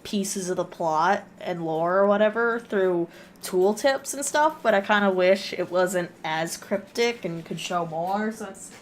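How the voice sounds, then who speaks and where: A woman's voice: mid-range voice, measured pace, a little hiss or hum. A woman hosting a game stream in casual, rambling chat, weary and self-deprecating. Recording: music behind the voice. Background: music.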